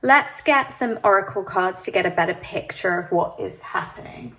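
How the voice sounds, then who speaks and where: Woman, tarot reader, sniffly, hay fever, gentle, reassuring, mid-pitched voice. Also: lively intonation, moderate pace, poor audio. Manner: gentle, reassuring, addresses viewer directly. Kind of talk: pick-a-card tarot reading.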